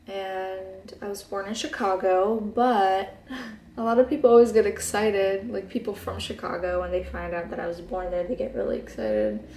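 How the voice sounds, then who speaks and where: A woman's voice: medium pitch, a little mellow. A sleepy young woman in her twenties whose first language is Hebrew, chatting in a laid-back way in her bedroom while doing her makeup. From Israel. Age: 20s. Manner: laid-back and chatty, many ums and likes. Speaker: beauty vlogger. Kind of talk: casual get-ready-with-me chat. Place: bedroom, indoors. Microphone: camera at close desk distance. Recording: echoey bedroom recording.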